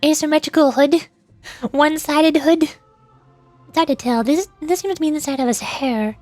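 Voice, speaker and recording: A woman's voice: high-pitched, moderate pace, darkish tone, no echo, soft background music. A young woman doing casual livestream game commentary as a VTuber, playful, teasing and rambling.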